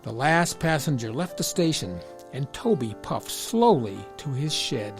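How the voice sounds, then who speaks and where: A man's voice: mid-range voice, very animated, a little slow, over music. A man reading a scripted children's story as the narrator.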